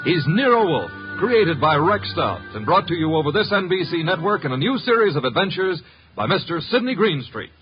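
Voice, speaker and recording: A man's voice: on the high side, sing-song, normal speed. A man announcing and narrating in 1950s radio style, polished and theatrical. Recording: muffled old radio broadcast. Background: music.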